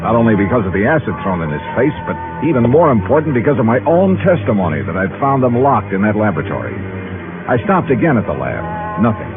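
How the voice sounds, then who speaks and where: An actor playing a tough, wry American investigator in a 1950s radio detective drama, sardonic and punchy. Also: male voice, fairly low pitch, sing-song, fast. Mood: wry narration. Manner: hard-boiled, sardonic, punchy. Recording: muffled 1950s radio broadcast, organ music.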